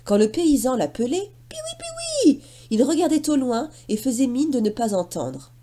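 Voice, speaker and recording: A woman narrating a children's story in an expressive storytelling style. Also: on the high side, normal speed.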